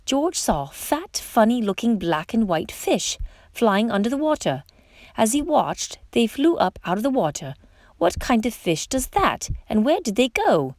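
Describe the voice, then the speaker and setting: A woman reads a children's story aloud in an expressive storytime style. Her pitch moves a great deal, with dramatic emphasis on exclamations and questions. The recording is dry, with hardly any room sound around her voice. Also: unhurried, dry recording. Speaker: storytime reader. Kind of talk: read-aloud children's picture book narration.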